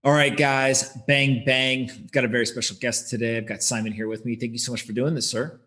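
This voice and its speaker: A man's voice: medium pitch, steady tone, fairly quick, bright, forceful tone, faint music behind. A man hosting an interview podcast, upbeat and punchy as he leads into questions. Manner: upbeat, punchy catchphrase openers. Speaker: podcast host. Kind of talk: casual interview.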